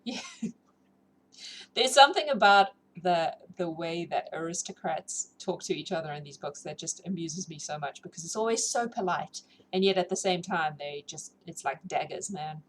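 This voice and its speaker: A woman with a mixed South African, British and New Zealand accent chats informally and gigglily as the host of a book-reading livestream. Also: medium pitch. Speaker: livestream host who reads novels aloud. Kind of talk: casual livestream chat with viewers. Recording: clearly noisy room ambience.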